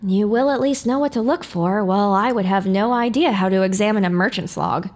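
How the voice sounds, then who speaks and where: A woman's voice: medium pitch, fairly quick, lightly acted. A woman playing a young, stubborn, idealistic pony heroine in an audio drama, with a sassy but earnest manner. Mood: determined.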